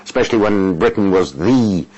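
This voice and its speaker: An older man whose first language is Russian, an interpreter answering interview questions in a halting, measured way with many fillers and restarts. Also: deep voice, lively intonation, mellow, highs cut off.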